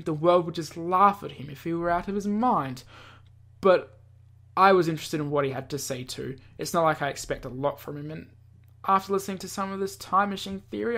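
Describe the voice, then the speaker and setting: A man's voice: high voice, a bit bright. A male gaming YouTuber reading a visual novel aloud and adding casual commentary. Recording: game music behind. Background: visual novel game music.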